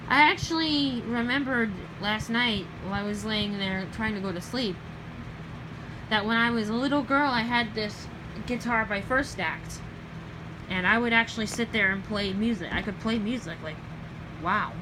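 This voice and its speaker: A young woman with a Southern US accent, chatting casually in a late-night vlog, rambling with many ums and self-corrections and trailing off softly. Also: fairly high pitch, moderate pace, noisy background. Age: young adult. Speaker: vlogger.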